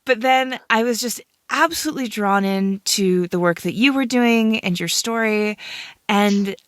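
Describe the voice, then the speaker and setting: A woman's voice: medium pitch, measured pace, no room sound, studio quality. Woman podcast host, chatty interviewer. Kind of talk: scripted intro, then casual interview.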